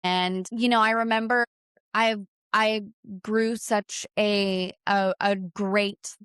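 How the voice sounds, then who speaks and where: A woman's voice: mid-range voice, takes it slowly, smooth, no room sound. A woman in her thirties telling stories in a rambling, casual chat, often restarting her sentences. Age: 30s. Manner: rambling, restarts often, animated when quoting others. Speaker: podcast co-host.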